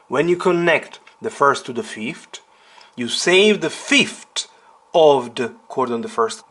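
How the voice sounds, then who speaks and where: A man's voice: fairly high pitch, a bit bright. Man teaching piano step by step. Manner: didactic, step-by-step explaining with repetition. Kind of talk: informal instructional tutorial at the piano. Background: piano being played.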